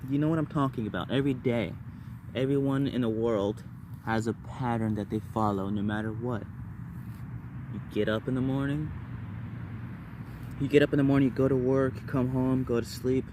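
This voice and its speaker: A man's voice: medium pitch, fairly even intonation, moderate pace, pauses often, noisy background. A man telling personal stories casually to viewers, rambling and repeating words and phrases in bursts. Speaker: vlogger.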